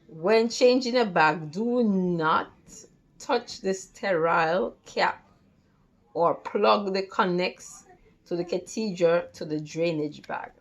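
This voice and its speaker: Slow, halting woman reading a lesson aloud. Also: on the low side, dull audio. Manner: halting, careful reading with stumbles and repeats. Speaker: tutor reading study material aloud. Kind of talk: read-along lesson from a textbook.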